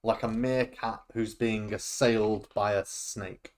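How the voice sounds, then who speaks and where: A man's voice: fairly low pitch, steady tone, a little slow. A young man hosting a casual podcast, chatty, laughing often and tripping over his words. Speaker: podcast host. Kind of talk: casual chat.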